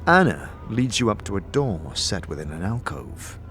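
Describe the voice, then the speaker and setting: A man delivering measured, scripted second-person narration for a fantasy audio drama, telling it like a story. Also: on the low side, sing-song, a bit bright.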